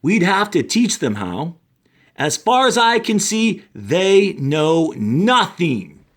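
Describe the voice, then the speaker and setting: A man voicing a practical, decisive townswoman in a storybook, speaking in an earnest, emphatic and deliberate way. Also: sits high, sing-song, fairly bright tone, voice pushed up, exaggerated voice. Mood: firm, practical.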